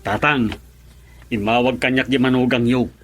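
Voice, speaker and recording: A man's voice: mid-range voice, steady tone, normal speed, soft background music, lightly acted. An actor playing an affectionate husband and father in a radio drama, with a Filipino accent. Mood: upbeat. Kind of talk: Ilocano radio drama acting.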